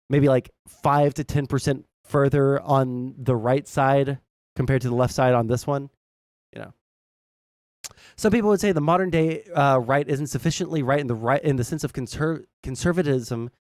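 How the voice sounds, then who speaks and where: A man's voice: medium pitch, a little mellow, dry recording, studio quality. A young American man giving casual, rambling, blunt political commentary as a livestream host, swearing freely. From southern United States.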